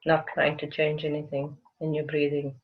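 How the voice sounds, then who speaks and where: A guided-meditation voice of middling pitch, soothing and unhurried, leaving long pauses between gentle phrases. The recording is dull and lo-fi, with the highs rolled off. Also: completely flat delivery.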